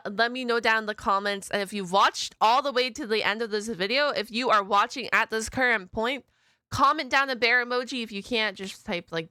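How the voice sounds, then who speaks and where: Bright, high-voiced woman chatting casually. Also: clean tone, no echo. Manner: informal, slangy, exclaiming, musing aloud. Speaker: reaction video host. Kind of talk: casual chatty reaction commentary.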